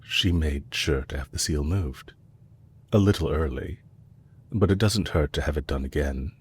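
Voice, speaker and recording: Villain leader for intimate audio roleplay, male actor: low, husky register, courteous and measured, calculating under a gently teasing manner. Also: full character voice. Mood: attentive, calm. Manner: polite, measured, softly teasing. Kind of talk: intimate scripted audio roleplay addressed to the listener.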